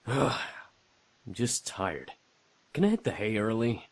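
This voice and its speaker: A weary young man from a romance game. He speaks slowly in a lowish voice that swings noticeably in pitch. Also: very animated, exaggerated voice. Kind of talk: visual novel voice acting.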